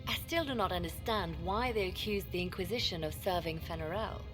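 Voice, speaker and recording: An actress playing a shrewd, composed advisor in a video game, speaking in a measured manner. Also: female voice, fairly low pitch, over music, close to an everyday voice. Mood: pensive. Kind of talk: dramatic video game dialogue.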